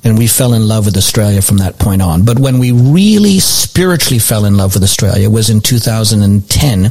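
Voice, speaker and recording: Relaxed middle-aged man, easy storyteller. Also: fairly low pitch, fairly quick, breathy edge. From born in France, raised across several countries. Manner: relaxed, storytelling, chuckles easily. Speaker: pastor and church administrator, guest. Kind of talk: casual interview answers.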